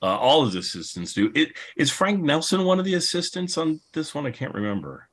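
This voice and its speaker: A man's voice: a little high, very animated, fairly quick, a bit bright. A man speaking as a podcast host in an unscripted panel discussion, rambling and enthusiastic as he repeats himself.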